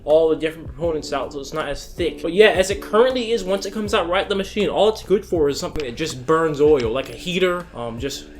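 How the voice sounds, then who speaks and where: A young man hosting a reaction video, talking in a brash, excitable way and swearing freely as he explains what he is watching. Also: fairly high pitch, fast talker, few pauses. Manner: excitable and brash, swears freely, jumps between loud and soft. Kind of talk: casual reaction commentary.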